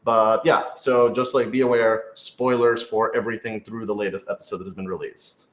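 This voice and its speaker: A man's voice: lowish voice, little pitch movement, moderate pace. A man casually co-hosting a live Q&A, explaining things in an organized way with dry humor. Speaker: co-host and producer of an audio drama. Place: indoor room, on a couch. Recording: echoey, roomy sound.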